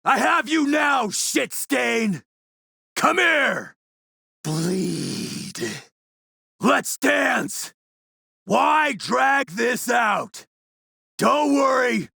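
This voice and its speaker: An actor playing a brutal, sneering warlord with a gravelly, rasping voice, throwing forceful combat taunts in a video game. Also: male voice, extremely high pitch, dry recording, studio quality, exaggerated voice.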